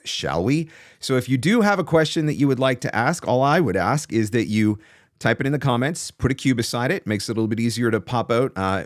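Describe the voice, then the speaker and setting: Man in his forties, lowish pitch, very varied intonation, emphatic livestream host, casual advice, close studio mic. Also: no echo. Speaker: host of a livestream on voiceover business. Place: small indoor home studio. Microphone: large studio microphone close in front.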